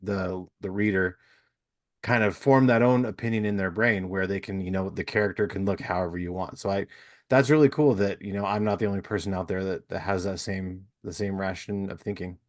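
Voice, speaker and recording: A man's voice: sits low, steady tone, brisk. A man hosting a podcast interview, chatting casually and rambling with lots of "you know" fillers. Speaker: podcast host.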